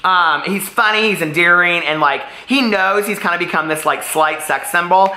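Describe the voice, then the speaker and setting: A man with a bright, forward voice speaks casually and directly to his viewers. He gushes and rambles, leaning hard on words for emphasis. The recording is roomy, with audible echo around the voice. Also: high-pitched, normal speed. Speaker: book reviewer vlogger. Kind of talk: casual chatty book review to camera. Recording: roomy, echoey room sound.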